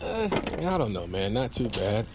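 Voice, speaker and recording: A man's voice: on the low side, big pitch swings, unhurried, noisy background. An actor playing a sarcastic, skeptical man in a comedy audio drama, speaking casually and with incredulity. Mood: dry banter. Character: sarcastic, skeptical male buddy on an amateur mission. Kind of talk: comedic audio fiction acting.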